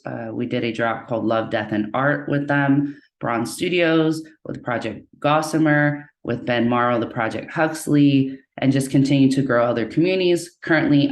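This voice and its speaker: A guest facilitator from Hawaii giving a casual, upbeat introduction over a video call. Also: male voice, medium pitch. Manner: upbeat, easygoing. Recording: echoey room.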